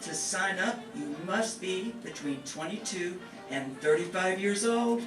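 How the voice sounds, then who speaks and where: A woman's voice: lowish voice, lively intonation, a little slow. A woman playing a strict, bossy charge nurse in an amateur staged radio play. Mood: stern, commanding. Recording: echoey, distant, music behind.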